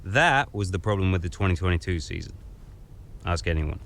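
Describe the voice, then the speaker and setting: An actor playing a cocky young racing driver in a video game, smug, sarcastic and taunting. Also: male voice, sits low, animated, fairly quick, vocal fry, fairly bright tone, slight background noise, heavily acted. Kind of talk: video game cutscene acting.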